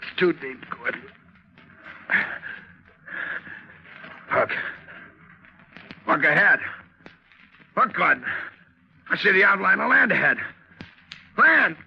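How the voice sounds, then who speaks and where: A gruff, domineering sailor, swooping pitch. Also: male voice, big pitch swings, measured pace, pauses often, full character voice. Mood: weak, wounded. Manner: gruff, commanding, curt. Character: gruff, domineering mutinous sailor adrift at sea. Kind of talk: 1940s radio drama acting. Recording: dull lo-fi 1940s radio recording.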